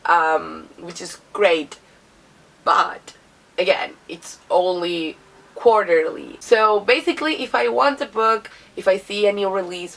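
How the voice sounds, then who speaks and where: A woman's voice: on the low side, creaky, fairly bright tone, recorded from a distance, low-quality recording. A young woman from Chile, a native Spanish speaker, with a cold, chatting casually to camera about books with lots of filler words. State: has a cold. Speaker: book vlogger. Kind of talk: casual vlog monologue.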